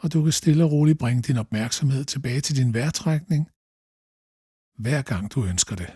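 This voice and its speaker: A man guides a meditation slowly and calmly, giving gentle instructions with long pauses. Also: mid-range voice, husky, no echo, studio quality.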